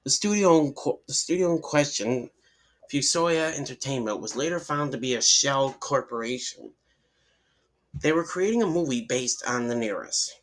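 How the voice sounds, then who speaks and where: A man's voice: medium pitch, expressive, moderate pace, rough recording. A man reading a horror story aloud in dramatic first-person narration, sometimes stumbling over words and restarting.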